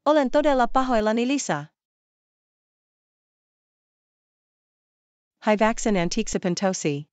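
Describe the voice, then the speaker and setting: Earnest schoolgirl classmate played by a woman, very animated intonation, dry close sound, deliberate story dialogue. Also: on the high side, no room sound. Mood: apologetic. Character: schoolgirls Lisa and Sarah, earnest classmates making up. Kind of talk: scripted language-learning story dialogue.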